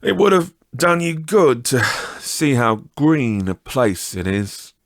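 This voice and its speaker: A man playing a gentle, grief-stricken poor father in a storybook reading, tender and close to breaking. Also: medium pitch, big pitch swings, slow pace, dry recording, pitched above the performer's own voice. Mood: tender, restrained sorrow. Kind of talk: dramatic audiobook character acting.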